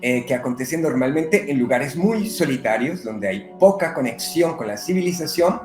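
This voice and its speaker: Man from Colombia, medium-guest type, measured and pausing, echoey room. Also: a little high, lively intonation, darkish tone, faint music behind. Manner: unhurried, many "eh" pauses. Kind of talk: conversational interview. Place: living in Brazil.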